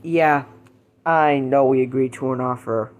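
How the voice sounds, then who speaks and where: A man playing a desperate American official, pleading and halting, in an amateur radio-style drama. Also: mid-range voice, fairly even intonation, natural voice.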